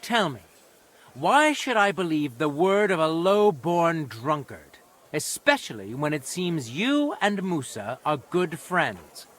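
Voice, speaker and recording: An actor playing a stern, shrewd medieval nobleman in judgment, speaking in a measured, imperious and dryly sardonic way for a video game. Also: fairly high pitch, big pitch swings, fairly bright tone, heavily acted. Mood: dry, reproachful. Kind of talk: dramatic video game acting.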